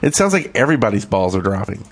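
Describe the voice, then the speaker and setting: A man's voice: fairly low pitch, sing-song, quick pace, vocal fry, background noise. Wry, teasing man on a podcast. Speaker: podcast co-host. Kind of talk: casual podcast banter.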